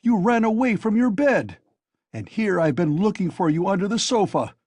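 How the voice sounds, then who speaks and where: A furious young man bellows and scolds his friend, as a male narrator puts him on. The voice sits high and husky, with big lively swings. The sound is dry and close. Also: normal speed, dry recording, exaggerated voice. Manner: shouting, scolding.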